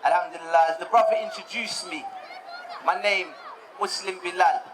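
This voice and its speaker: A young man raised in the United Kingdom, giving a relaxed personal testimony talk to an audience, often repeating words as he goes. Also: high voice, moderate pace, a crowd in the background, noisy background.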